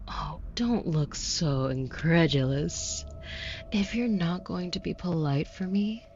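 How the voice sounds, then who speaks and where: Slow, low, teasing demoness, acted by a woman. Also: darkish tone, dull audio, slight background noise, heavily acted. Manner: teasing, condescending, slow deliberate delivery dropping into whispers. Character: flirty, menacing female demon taunting a caged angel. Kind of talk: scripted audio roleplay.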